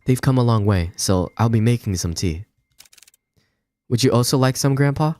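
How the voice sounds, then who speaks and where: A man voicing a timid, worried young woman from a visual novel, earnest, hesitant and gentle. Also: medium pitch, lively intonation, moderate pace, no room sound, pitched above the performer's own voice, close to an everyday voice. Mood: gentle, polite. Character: timid, worried young woman seeking help for her grandfather. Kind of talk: visual novel character read aloud.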